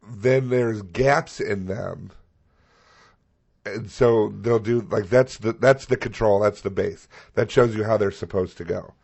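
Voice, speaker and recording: A man with a low, froggy voice, noticeably hoarse and gravelly with a husky edge. He rambles through casual comedic chat as a podcast co-host and swears freely. Faint music sits in the background. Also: highs cut off.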